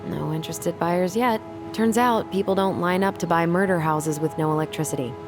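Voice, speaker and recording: A woman's voice: on the low side, fairly quick, close to an everyday voice. A woman playing a caring, wry young sister in naturalistic, conversational video game dialogue. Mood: teasing. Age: young adult. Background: game music.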